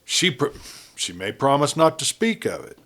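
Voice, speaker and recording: A man's voice: mid-range voice, very animated, moderate pace, fairly bright tone, pitched above the performer's own voice. A man voicing a wary, principled outlaw lieutenant in a Western story, with guarded, earnest cowboy speech. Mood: reluctant, questioning.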